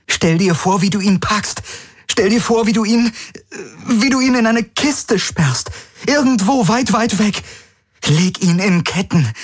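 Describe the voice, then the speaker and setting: A man's voice: high-pitched, breathy edge, pitched above the performer's own voice, exaggerated voice. A man voicing a desperate young woman who urgently pleads with her lover, partly in whispers.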